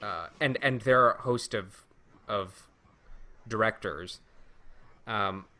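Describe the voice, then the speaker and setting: Guy on a podcast, kinda low voice but real bright and crisp edge, chatting thoughtfully. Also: slow pace. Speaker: podcast co-host. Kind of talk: casual podcast discussion.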